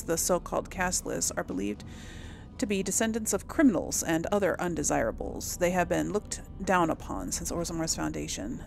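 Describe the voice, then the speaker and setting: A woman's voice: lowish voice, creaky, slight background noise. A woman hosting a casual let's-play, reading lore entries aloud and thinking aloud with many ums.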